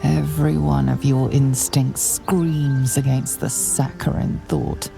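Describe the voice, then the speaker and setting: A woman playing an ominous, all-knowing narrator of a dark fantasy game, grave and theatrical. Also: very deep voice, little pitch movement, slow, creaky, music in the background, full character voice. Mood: foreboding. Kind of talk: second-person scripted game narration.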